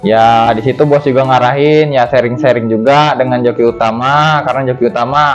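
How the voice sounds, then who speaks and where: A man from Jambi, a guest on an interview, talking in a halting way with many "eh" fillers and repeated respectful address to the host. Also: medium pitch, fairly even intonation, soft background music. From Jambi, Indonesia. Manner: halting, fills with "eh" and keeps addressing the host as "Bang". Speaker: pigeon racing jockey, guest. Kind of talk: casual interview chat. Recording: dull, lo-fi sound.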